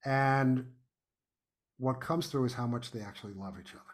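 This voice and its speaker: A man's voice: mid-range voice, normal speed. A man speaking as an enthusiastic podcast guest, effusive and emphatic in casual conversation.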